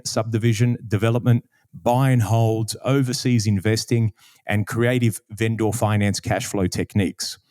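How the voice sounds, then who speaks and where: Mellow-voiced man hosting a podcast. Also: mid-range voice, no room sound. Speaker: podcast host. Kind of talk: podcast hosting, introduction and questions.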